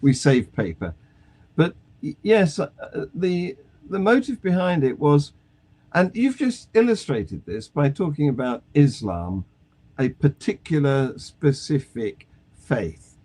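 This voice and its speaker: A man's voice: on the high side, big pitch swings, takes it slowly, darkish tone. A man hosting a live online talk show, wry and self-deprecating, chuckling as he talks. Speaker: host. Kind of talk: casual chat, hosting.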